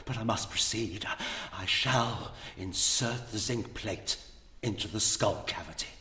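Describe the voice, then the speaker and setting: An actor playing an obsessed, tormented mad scientist in a gothic radio play, portentous and theatrical. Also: male voice, lowish voice, unhurried, pushed and bright. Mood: grave dread. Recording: echoey hall, ambient noise.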